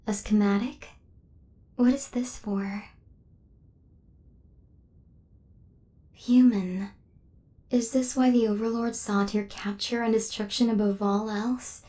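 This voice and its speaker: A woman playing an affectionate, literal-minded killer robot in an intimate ASMR roleplay, speaking softly and often near a whisper, in measured, formal phrasing. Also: fairly high pitch. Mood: curious, awed.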